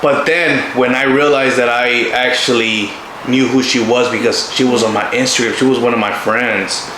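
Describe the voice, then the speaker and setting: Male vlogger, 20s, medium pitch, jokey slang-filled casual chat, roomy sound with clear background noise. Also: steady tone, normal speed, bright, forceful tone. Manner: joking, slangy. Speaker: couple's channel co-host.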